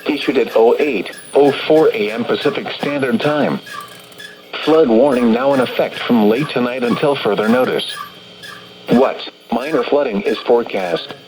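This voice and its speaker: A man's voice: medium pitch, moderate pace. A man's synthetic, automated voice reading a formal scripted weather forecast bulletin as an announcer over the radio. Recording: noisy weather radio broadcast.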